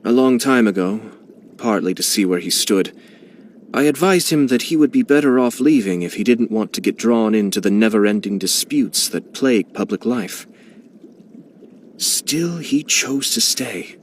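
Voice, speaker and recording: A man's voice: on the low side, lively intonation, normal speed, a little hiss or hum, lightly acted. A man voicing a suave, sly young nobleman. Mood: composed. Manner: polished, courteous, dryly amused. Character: young nobleman clan head, composed, sly teaser. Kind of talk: video game character voicelines.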